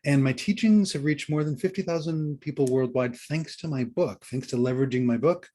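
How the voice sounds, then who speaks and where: A man co-hosting a podcast in a conversational style, somewhat halting and repeating phrases for emphasis. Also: on the high side, warm tone. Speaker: podcast co-host and book coach.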